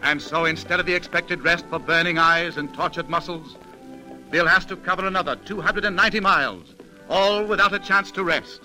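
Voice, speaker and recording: A man's voice: high-pitched, fairly quick, bright, forceful tone, poor audio. A man narrating a 1930s radio drama in a grave, theatrical storytelling manner. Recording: old 1930s radio recording, music behind.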